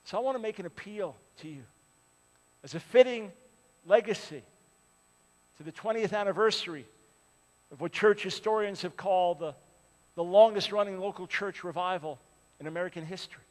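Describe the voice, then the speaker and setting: A man in his sixties preaching a sermon, swinging from loud appeals to hushed, pleading lines. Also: sits high, long reverb tail. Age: 60s. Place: large church with a balcony.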